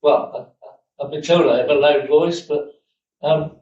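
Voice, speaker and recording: A man councillor makes his point haltingly, stumbling over his words and filling pauses. His voice is very dark and level, with little rise and fall. He sounds far from the microphone in a very echoey hall. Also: fairly high pitch, fairly even intonation. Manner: halting, stumbling, many fillers. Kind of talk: formal council meeting. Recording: distant, echoey, dull room mic.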